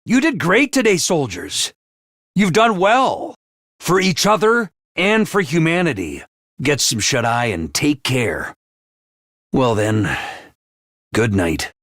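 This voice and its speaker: An actor playing a theatrical male academy commander who swings from booming cheer to menace, in a game. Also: big pitch swings, slow, pauses often, a bit bright, no room sound, studio quality, heavily acted. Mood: gentle. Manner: booming, over-the-top cheer. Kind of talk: video game voice lines.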